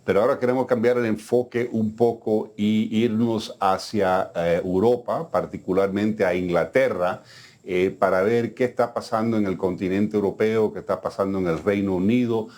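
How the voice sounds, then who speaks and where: A man's voice: on the low side, steady tone, normal speed, a little mellow. A man hosting a business news show, talking conversationally with frequent fillers and restarts.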